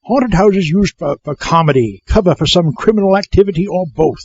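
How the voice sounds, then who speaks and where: An actor playing a pompous, indignant film-buff doctor who lectures emphatically on a comedy audio show. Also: male voice, sits high, animated, fairly quick, husky, no room sound, full character voice. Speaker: film history commentator. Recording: dull, muffled recording.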